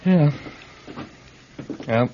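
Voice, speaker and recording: An actor playing a troubled, doubting airline pilot in a radio drama, hesitant yet insistent. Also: male voice, extremely slow. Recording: dull old radio, faint noise.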